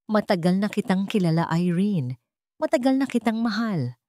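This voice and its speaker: A Filipino woman voicing a tender young man in love, gentle and earnest, in a romantic audio story. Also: medium pitch, lively intonation, normal speed, no room sound, studio quality, natural voice. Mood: wistful.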